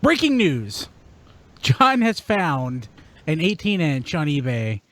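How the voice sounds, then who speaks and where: A man hosting a casual panel podcast, reading out news items in a halting way and trailing off mid-sentence. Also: a little high, big pitch swings, fairly bright tone.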